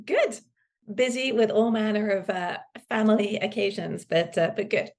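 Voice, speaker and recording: A woman's voice: medium pitch, normal speed, darkish tone. A middle-aged woman, answering conversationally as a podcast guest. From UK, countryside in Suffolk, England. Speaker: podcast guest, business executive.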